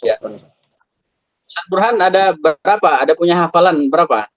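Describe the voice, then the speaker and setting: A man's voice: high-pitched, moderate pace, no echo. Chatty man teaching over a dull call. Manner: relaxed, chatty, gently corrective. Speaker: Quran recitation teacher. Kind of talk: online tajwid class, correcting students.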